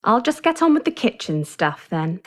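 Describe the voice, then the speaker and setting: A woman's voice: on the high side, big pitch swings, moderate pace, slight background noise. An actress in an audio drama playing a practical carer, looking after her charge in a caring but matter-of-fact way. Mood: firm, matter-of-fact. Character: practical, brisk female carer of an old man.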